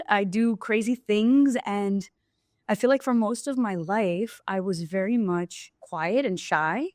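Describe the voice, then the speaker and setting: A woman's voice: medium pitch, dry recording, studio quality. A woman from Brazil, a podcast guest, talking openly and reflectively about her life in casual conversation. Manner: reflective, open storytelling. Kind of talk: casual interview chat.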